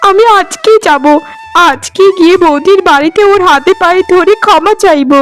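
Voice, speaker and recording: A woman's voice: very high-pitched, moderate pace, a bit bright. A woman voicing an emotional village woman in a family cartoon, pleading in a melodramatic way. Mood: remorseful, desperate. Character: emotional, remorseful village women of one family. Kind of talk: Bengali cartoon voice acting. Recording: music behind the voice.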